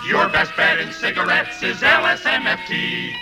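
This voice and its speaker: An actor playing an elevator operator who chants rhymed store lists like a 1940s radio jingle. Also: male voice, very high-pitched, sing-song, moderate pace, music in the background. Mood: cheery sing-song. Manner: sing-song rhymed patter, jingle-like. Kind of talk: 1940s radio singing commercial. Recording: old radio broadcast.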